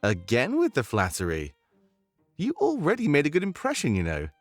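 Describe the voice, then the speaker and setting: A man's voice: on the high side, wildly swooping pitch, moderate pace, no room sound, soft background music. A man voicing an earnest rebel guide in a visual novel game, conscience-stricken but with a playful streak, talking in a musing, conversational way.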